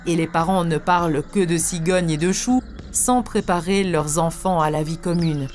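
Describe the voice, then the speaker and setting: A woman voicing a calm, wise spiritual teacher in a cartoon, explaining in a measured, didactic way. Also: on the low side, close to an everyday voice. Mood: calm, instructive. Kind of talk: scripted cartoon dialogue, didactic lecture. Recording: faint music under the voice.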